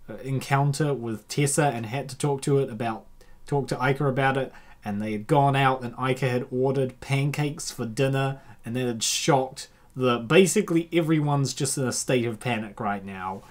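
A woman hosting a comic dub with casual, rambling, excitable reaction commentary. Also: a bass voice.

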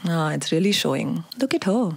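A woman's voice: on the low side, sing-song, normal speed, vocal fry, husky, dry recording, full character voice. Woman acting a controlling Indian husband, close dry sound. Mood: smug, belittling. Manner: accusing, demanding, addressing an unheard wife. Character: controlling, belittling, manipulative husband, jealous and volatile. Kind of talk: dramatic monologue.

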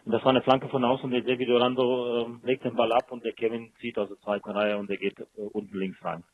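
A man's voice: medium pitch, flat delivery, a little fast, dry recording. A man speaking as a football coach in a post-match interview over a telephone line. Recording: muffled, dry telephone line.

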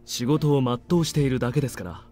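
An actor playing a polite, guarded military major in a visual novel, formal and composed. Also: male voice, mid-range voice, very fast, dark tone, natural voice. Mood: composed, courteous. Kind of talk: Japanese visual novel voice acting. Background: game music.

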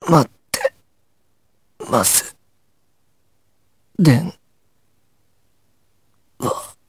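A woman's voice: deep voice, big pitch swings, extremely slow, breathy edge, dry recording, studio quality, full character voice. A woman voicing a weakened, devoted young male gangster in a game drama, breathless and fading. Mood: weak, longing.

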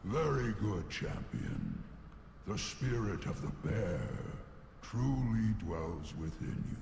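A man playing an ancient, solemn bear spirit in a fantasy video game, commanding and grand, with a gravelly voice. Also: on the low side, animated, reverberant, big-room sound, background noise, full character voice. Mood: solemn approval. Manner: slow, grand, declamatory.

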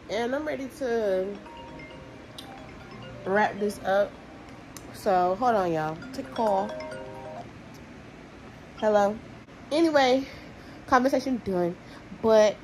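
A woman's voice: a little high, slight background noise, rough recording. Blunt, chatty young woman counting cash. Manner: counting money aloud, chatty and blunt with slang. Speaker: vlogger. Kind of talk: casual vlog talk to camera.

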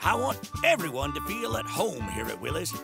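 A boastful Southern cowboy showman announces in a creaky voice that swings widely in pitch. He is folksy and hammy, a cartoonish comic-dub salesman over loud music. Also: huge pitch swings, fairly quick, exaggerated voice. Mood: showy, welcoming.

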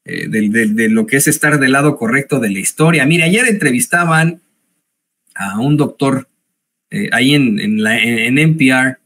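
A man's voice: mid-range voice, moderate pace, a bit bright. Casting: man in his 40s, news commentator, hesitant delivery full of fillers, home office webcam. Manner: hesitant, many fillers and restarts.

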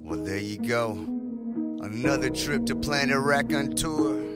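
A man's voice: medium pitch, unhurried, over loud music. A man hosting an audio drama podcast, reading a scripted outro. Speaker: podcast host.

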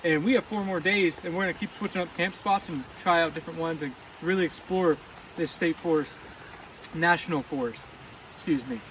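A man with a slightly higher voice talks through his camping trip in a loose, rambling way, dropping "uh" and "like" as he goes. He is outdoors at a forest campsite, speaking into a camera mic with a faint hiss underneath. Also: a little fast, a bit bright, poor audio. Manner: laid-back, rambling with many "uh" and "like" fillers. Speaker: overland camping vlogger. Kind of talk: casual vlog chat.

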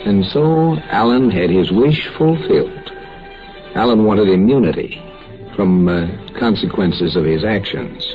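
A man hosting a vintage radio drama, giving measured, explanatory closing commentary. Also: fairly low pitch, lively intonation. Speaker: host. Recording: muffled old radio recording with music.

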